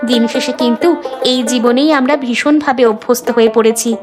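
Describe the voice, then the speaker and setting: Woman doing a resigned boat mum in a cartoon, earnest, kinda high voice, music behind. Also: fairly even intonation, fairly quick, natural voice. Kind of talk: cartoon dialogue acting.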